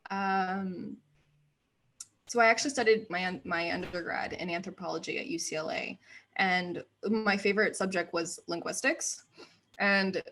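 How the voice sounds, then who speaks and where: A woman in her thirties, raised in the United States, chatting as a guest on an interview show, laughing often and filling pauses with "um" and "like". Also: fairly low pitch, fairly bright tone. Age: 30s. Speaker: guest, actor and writer. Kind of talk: casual interview chat.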